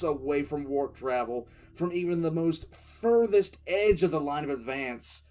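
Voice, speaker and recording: A man acts out a grim veteran commissar telling his war story in an audio drama. The voice sits a little high, brooding and halting, with weighty emphasis. Music plays faintly underneath. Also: darkish tone. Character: grim veteran military commissar recounting a past battle. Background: background music.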